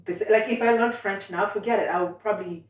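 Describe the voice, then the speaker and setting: A woman's voice: medium pitch, fast. A woman with a Swahili-influenced accent, who grew up speaking Swahili, interviewing a guest in casual conversation with lots of encouraging agreement. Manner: chatty, encouraging, many agreeing fillers. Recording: roomy, mic at a distance.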